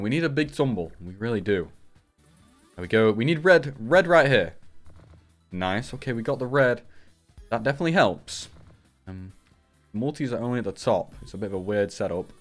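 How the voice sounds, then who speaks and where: A young man, hoarse from a cold, giving live commentary on a slots stream through a headset and jumping up loudly at big wins. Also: lowish voice. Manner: shouts and rises sharply at big wins. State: raspy from a cold.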